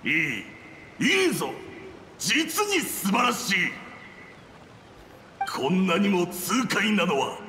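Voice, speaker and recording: A man's voice: high voice, huge pitch swings, takes it slowly, long pauses, pushed and bright, full character voice. An actor voicing a proud, battle-hungry ghost swordsman in a video game, speaking formally in archaic phrases through ghostly reverb. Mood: exhilarated. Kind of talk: Japanese video game voice acting. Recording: long echoing reverb, faint ambient noise.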